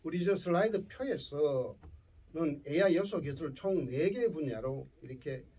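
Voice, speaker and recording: A man's voice: on the high side, expressive, unhurried, warm tone, slightly rough, recorded from a distance, low-quality recording. A man with a Gyeongsang accent giving a lecture to school students as a professor, often checking in with his listeners. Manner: checks in with listeners using tag questions. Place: indoor lecture before students.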